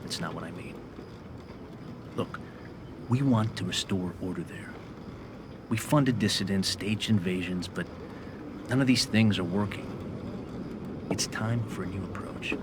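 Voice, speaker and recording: Low-voiced, calculating American CIA schemer. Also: male voice, background noise. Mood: persuasive. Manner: measured, persuasive, matter-of-fact. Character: calculating middle-aged male CIA agent, smooth schemer. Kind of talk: cinematic video game acting.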